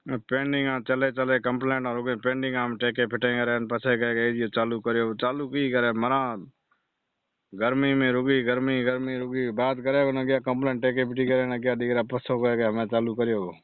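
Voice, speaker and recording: A man's voice: medium pitch, little pitch movement, moderate pace, clear, resonant tone, no room sound. A man with a Rajasthani accent making an exasperated, insistent complaint as a customer, in colloquial dialect, over the phone. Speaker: customer phoning in a power-cut complaint. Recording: muffled, band-limited phone call recording.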